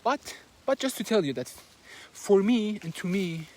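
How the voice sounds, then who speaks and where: Man, Persian accent, slightly high voice, halting with lots of fillers, walking outdoors, faint outdoor hiss. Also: big pitch swings, darkish tone. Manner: hesitant, with many fillers and restarts. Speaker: book reviewer. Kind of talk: casual book summary while walking. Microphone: handheld phone, no fancy equipment.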